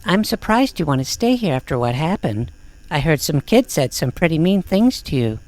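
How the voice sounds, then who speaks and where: A woman's voice: sits low, big pitch swings, moderate pace, darkish tone. A woman playing a kindly, matter-of-fact school nurse in a comedic audio drama. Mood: calm, reassuring.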